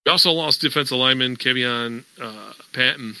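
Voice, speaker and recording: A man's voice: medium pitch, brisk, pushed and bright, smooth, no echo, low-quality recording. A man hosting a sports podcast, talking casually and at length with plenty of fillers. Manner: conversational, many fillers, explains at length. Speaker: podcast host.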